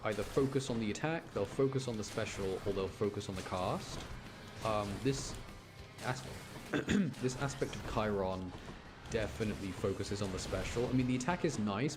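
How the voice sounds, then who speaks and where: Low-voiced man casually streaming a game. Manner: chatty, thinking aloud, apologetic. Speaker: game streamer talking to chat. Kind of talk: casual live gameplay commentary with explanations.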